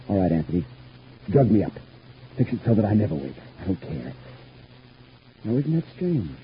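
A dull, hissy old radio drama recording. a disturbed, bitter man who suspects everyone, his pitch leaping about as he fires insistent questions. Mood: bitter, sardonic. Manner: agitated, probing with insistent repeated questions. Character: disturbed, suspicious, bitter man in a family feud. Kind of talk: old radio soap opera acting.

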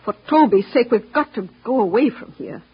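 Protective housekeeper mother, very husky, high and swooping, indignant, on muffled vintage radio. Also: lively intonation, moderate pace. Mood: upset, resolute. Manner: emotional, indignant. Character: exasperated, protective housekeeper, mother of a small boy. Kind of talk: 1940s-50s radio acting. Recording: dull old radio broadcast recording.

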